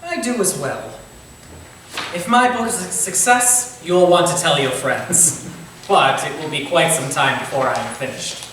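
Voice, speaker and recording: Man playing bright-voiced, bookish Victorian writer. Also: a little high, measured pace. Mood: earnest, resolved. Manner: hesitant, earnest, precise. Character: earnest, bookish Victorian writer, meticulous about details. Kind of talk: staged reading of a Victorian stage thriller. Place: indoor stage at a staged reading. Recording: very echoey room, distant mic, hissy noise.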